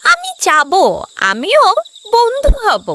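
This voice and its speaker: A woman's voice: very high voice, wildly swooping pitch, slow, bright, forceful tone, exaggerated voice. A woman voicing a brave, kind and earnest little girl in a children's cartoon story. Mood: eager.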